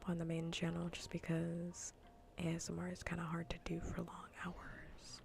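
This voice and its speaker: A woman streamer chatting casually in a soft, breathy whisper and murmur during an ASMR gaming livestream. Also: fairly low pitch, monotone, moderate pace, soft background music.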